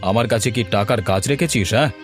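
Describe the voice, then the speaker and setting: A miserly rich man played by a man in a cartoon melodrama. He scolds in a quick, brusque, contemptuous way, with music clearly behind him. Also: mid-range voice, close to an everyday voice. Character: stingy rich male neighbour, harsh and contemptuous. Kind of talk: Bengali cartoon voice acting.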